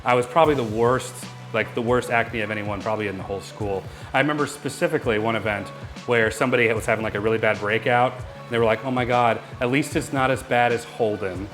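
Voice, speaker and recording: A man hosting a casual reaction chat, hoarse and raspy from a cold, candid and chatty. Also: medium pitch, fast, fairly bright tone, faint music behind. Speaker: channel host.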